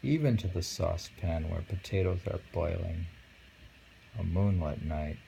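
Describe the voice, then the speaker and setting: A man reading short poems aloud and chatting about them casually, musing and pausing over the lines. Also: low-pitched, creaky, warm tone, slight background noise. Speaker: poetry reader and host. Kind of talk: casual poetry reading and chat.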